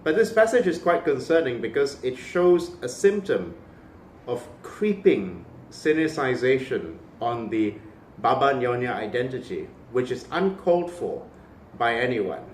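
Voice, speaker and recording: A man's voice: medium pitch, sing-song, measured pace, far from the mic, a little hiss or hum. Impassioned man commenting in an echoey room. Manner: emphatic, impassioned, sardonic asides. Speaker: cultural commentator. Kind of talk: opinionated video commentary.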